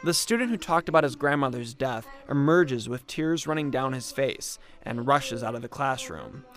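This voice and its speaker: A man reporting for public radio, reading a scripted news feature in a measured, even storytelling manner. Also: mid-range voice, over music.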